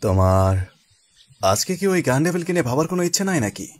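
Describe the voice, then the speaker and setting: A man's voice: mid-range voice, very animated, quick pace. A man voicing a powerful, cocky sorcerer teacher in an anime fan dub, breezy and teasing with blunt menace. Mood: flippant.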